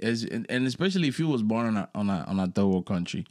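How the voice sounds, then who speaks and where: A man's voice: big pitch swings, fast. Laid-back young man. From Dominican Republic. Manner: laid-back, swears freely, jumps between low mutters and loud laughing bursts. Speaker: podcast co-host. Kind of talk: casual chat.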